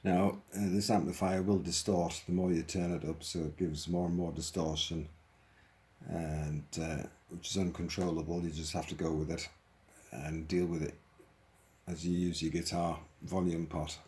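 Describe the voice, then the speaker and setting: Very low-voiced man explaining casually. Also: flat delivery, normal speed, darkish tone. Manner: informal, thinking aloud. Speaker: hobbyist presenter demonstrating a modified radio.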